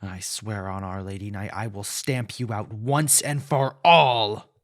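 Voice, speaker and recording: Very deep male villain, man acting sinister vampire lord, slow, pompous, taunting, theatrical fantasy audio roleplay. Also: steady tone, no echo, clean studio sound, natural voice. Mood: contemptuous, wrathful. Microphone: close, dry studio-style mic.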